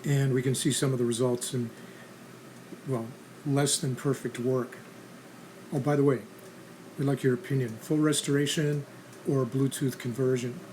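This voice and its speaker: A man with a medium-pitched voice narrates a restoration how-to in a casual style. His delivery is plain, practical and instructional. Clear background noise and ambience sit behind his words. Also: fairly even intonation, moderate pace, long pauses, darkish tone, low-quality recording. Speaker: host of a restoration how-to channel.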